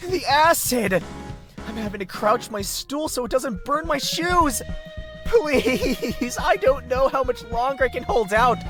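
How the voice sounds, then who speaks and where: A nervous male dating-show contestant acted out by a man in a visual novel game. He pleads anxiously in slow, wildly swinging speech. Music plays clearly behind him. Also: extremely high pitch, animated. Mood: panicked. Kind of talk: visual novel character acting.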